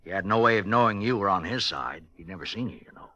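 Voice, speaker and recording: Deep, forceful hard-boiled investigator. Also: male voice, animated, fast, no echo, lo-fi sound. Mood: grave, explaining. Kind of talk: 1950s radio drama acting.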